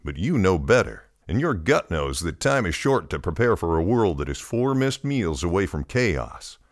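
Grave low-voiced male ad announcer. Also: no echo, studio quality. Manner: grave, urgent. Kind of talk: scripted ad read.

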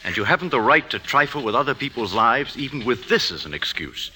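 Earnest suitor, lively intonation. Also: male voice, mid-range voice, brisk, a little hiss or hum. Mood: hurt, insistent. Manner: earnest, dramatic, insistent. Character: earnest, wounded, insistent male suitor. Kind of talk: 1950s radio drama acting.

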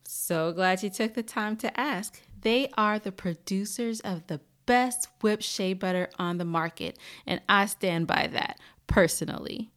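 A woman podcast host reads scripted announcements. Her voice sits at a middle pitch, and she speaks directly to the listeners as she presents the show and its sponsor. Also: a little slow, few pauses, a bit bright.

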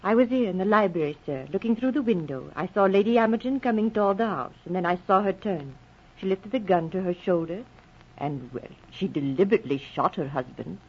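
An actress playing a composed, respectful middle-aged housekeeper of an English hall, formal and deferential, in 1940s radio drama style. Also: female voice, medium pitch, lively intonation, brisk, breathy edge. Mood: composed, grave. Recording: muffled old radio recording.

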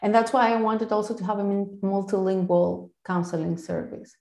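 A woman's voice: medium pitch, steady tone, creaky, a little mellow. Eager Greek-native woman, echoey room. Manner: fast, eager, with restarts. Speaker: guest, founder of a counselling service. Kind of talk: podcast interview.

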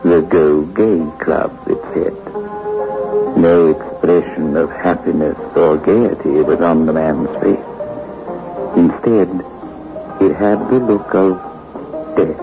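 A sinister radio horror host who welcomes and narrates with slow menace. His low voice creaks over music on a dull vintage recording. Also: exaggerated voice. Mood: grim. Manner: slow, deliberate, ominous. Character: sinister, drawling horror show host, mock-friendly.

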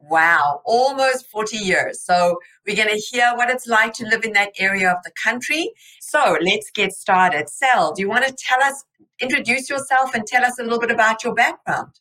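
A woman's voice: a little high, animated, moderate pace, bright, forceful tone, poor audio. A woman hosting a podcast interview, upbeat and encouraging with her guest. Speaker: podcast host. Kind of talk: casual interview.

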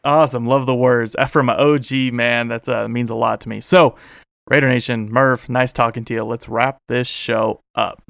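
A man hosts a casual podcast with loose, enthusiastic talk and frequent laughs. His voice is smooth and resonant, a little worn from a long night of talking. The sound is dry and close, with no room around it. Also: mid-range voice, fairly even intonation, normal speed, a bit bright, dry recording. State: losing his voice. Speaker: podcast host.

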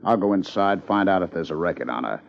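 An actor playing a bookish, drily teasing police lieutenant in a 1950s radio drama, urbane and wordy. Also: male voice, sits low, animated, quick pace, vocal fry. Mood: businesslike, dry. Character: wry, bookish, college-educated male police lieutenant, teasing. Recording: muffled, noisy 1950s radio recording.

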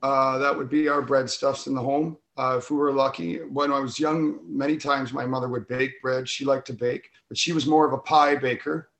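A man's voice: medium pitch, flat delivery, moderate pace, gravelly, few pauses, a bit bright. A man from Northern Ontario, a guest on an interview, jovial and talkative. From Northern Ontario, Canada. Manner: jovial and talkative, laughs as he speaks. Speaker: bagel business co-owner, guest. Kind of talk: casual interview chat.

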